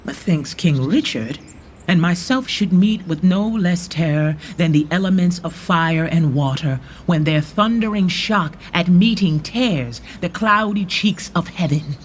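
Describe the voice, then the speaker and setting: An actor playing a bold, commanding rebel lord, speaking measured, declamatory verse in a Shakespearean radio drama. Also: male voice, high voice. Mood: solemn resolve. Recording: faint background noise and ambience.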